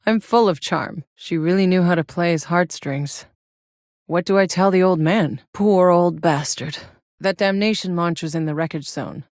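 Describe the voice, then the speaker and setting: A woman's voice: lowish voice, moderate pace, darkish tone, no room sound, studio quality, close to an everyday voice. An actress playing a tough, sardonic female soldier in a sci-fi shooter game, with a wry, blunt delivery. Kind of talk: video game voice lines, naturalistic acting.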